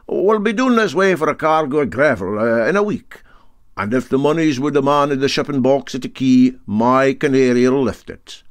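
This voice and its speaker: A man's voice: medium pitch, expressive, moderate pace, pitched above the performer's own voice, natural voice. A man voicing a canny, genial and boastful Scottish boat skipper with a sly, sing-song drawl, in a storybook reading. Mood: confident.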